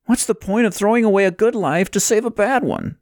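An anxious, questioning young man in a storybook drama, acted by a male narrator. His voice sits well above a low narrating register and is recorded dry and close. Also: expressive, a little fast, darkish tone, dry recording. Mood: bewildered.

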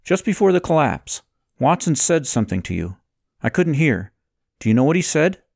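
An anxious, questioning young man in a storybook drama, acted by a male narrator. His voice sits well above a low narrating register and is recorded dry and close. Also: big pitch swings, moderate pace, gravelly, a little mellow, dry recording, full character voice.

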